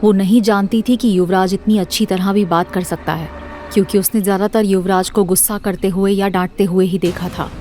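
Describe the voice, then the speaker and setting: A woman's voice: mid-range voice, fairly even intonation, a little fast, mellow, a little hiss or hum. A woman reading scripted narration for an audio drama series.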